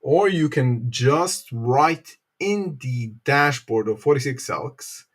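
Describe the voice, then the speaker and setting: A man presenting an informal live tech tutorial, explaining step by step in a halting way with frequent pauses. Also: medium pitch, lively intonation, breathy edge. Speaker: presenter, company developer advocate.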